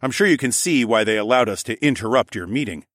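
A man's voice: medium pitch, expressive, fast, a bit bright, no room sound, clean studio sound, natural voice. A man voicing a confident, amused young lord, the casual hero of a fantasy audiobook. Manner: cocky, chuckling, casual.

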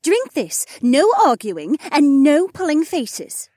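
A man voicing a businesslike, motherly phoenix woman who speaks in a brisk, commanding, admonishing way. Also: extremely high pitch, big pitch swings, darkish tone, dry recording, studio quality, voice pushed up, exaggerated voice. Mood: stern, bossy.

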